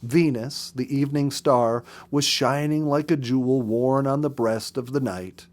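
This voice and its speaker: Expressive male storyteller reading aloud. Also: mid-range voice, a little slow. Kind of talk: expressive narrated audiobook reading.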